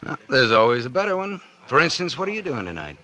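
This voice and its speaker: A man's voice: mid-range voice, sing-song, quick pace, pushed and bright. A man playing a bitter, scheming publisher in a 1940s radio drama, sardonic and slangy, with an American accent and a hard-boiled delivery. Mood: leering, needling. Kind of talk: 1940s radio acting, first-person noir narration. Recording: old 1940s radio recording with music.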